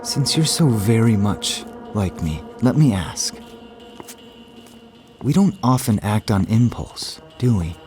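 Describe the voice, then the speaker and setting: An actor playing a haunted man who confides a dark secret in a halting, deliberate horror monologue, with repeated broken phrases. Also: fairly low pitch, expressive. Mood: hushed, uneasy. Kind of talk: slow, scripted dramatic monologue for an audio horror drama. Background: music.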